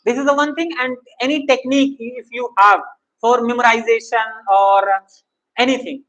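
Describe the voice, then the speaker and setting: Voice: medium pitch, steady tone, normal speed, a bit bright, dull audio. An interviewer asking long, winding questions full of hesitations. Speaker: interviewer hosting the channel.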